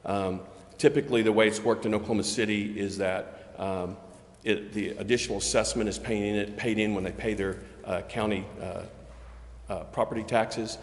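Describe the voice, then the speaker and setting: A man explaining things step by step in measured remarks as a local development official at a public meeting. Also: on the low side, fairly even intonation. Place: city council chamber. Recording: echoey reverberant meeting chamber.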